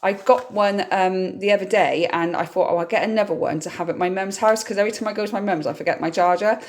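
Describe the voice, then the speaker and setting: A woman's voice: mid-range voice, quick pace, a little mellow, slight background noise. Slightly nasal British woman vlogger, chatty. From United Kingdom. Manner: chatty, informal, explanatory. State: getting over a cold, slightly scratchy throat. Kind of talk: casual chatty grocery haul vlog.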